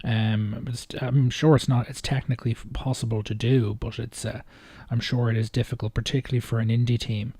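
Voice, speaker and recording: A man giving casual, off-the-cuff video commentary in a hesitant, rambling way with halting phrasing. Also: mid-range voice, level tone, mellow, breathy edge, dry recording. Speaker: video host reacting to game trailers.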